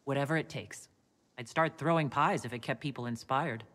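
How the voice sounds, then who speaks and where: A woman playing a composed, indulgent warrior leader in a video game, speaking in a measured, earnest, gently amused way. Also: a bass voice. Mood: fond.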